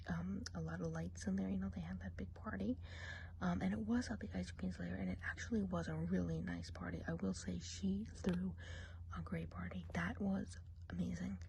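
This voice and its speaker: Gossipy forest witch, played by a woman, confiding whisper, mellow tone, faint hiss behind. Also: fairly low pitch, creaky, poor audio. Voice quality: mostly whispered. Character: gossipy female witch of the woods. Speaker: witch giving an eye exam. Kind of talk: ASMR role play.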